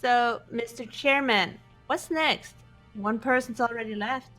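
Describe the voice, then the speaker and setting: A woman voicing a game character in a fan dub, steady and matter-of-fact. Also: a little high, measured pace. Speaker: player voicing several characters. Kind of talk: fan voice acting of a game script. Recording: faint music behind. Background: faint game music.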